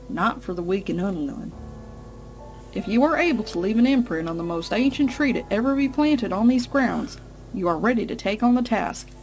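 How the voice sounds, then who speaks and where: A woman voicing a stern, solemn pony mare, a protective big sister, speaking gravely and deliberately in a fan fiction audio drama. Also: medium pitch, darkish tone, natural voice. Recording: music behind the voice. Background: music.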